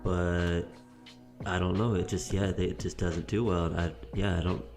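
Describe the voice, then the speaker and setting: Casting: male podcast co-host, low register, understated casual delivery, soft music behind. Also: little pitch movement, normal speed. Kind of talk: casual chat.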